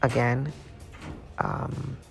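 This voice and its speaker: A woman's voice: rumbling low, little pitch movement, very slow, creaky, loud music behind the voice. A woman giving a casual, rambling walkthrough of how she cleans her salon, often losing her train of thought.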